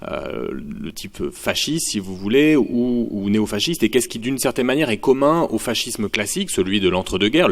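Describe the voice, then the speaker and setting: A male sociology lecturer giving a university conference talk, thinking aloud with frequent fillers and restarts. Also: lowish voice. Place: lecture hall. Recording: long hall reverb.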